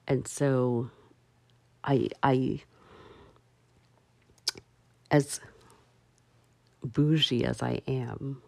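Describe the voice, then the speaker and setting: A woman hosting a solo talk show, speaking slowly and haltingly with many fillers. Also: extremely low pitch, vocal fry, a little mellow, no room sound. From parents from Barbados. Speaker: podcast host.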